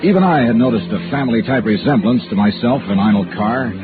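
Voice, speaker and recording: An actor playing a tough, wry American insurance investigator with clipped, probing delivery in a 1950s radio noir drama. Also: male voice, mid-range voice, a little fast, over music, lightly acted. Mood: wry narrating. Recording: tinny, narrow old radio recording.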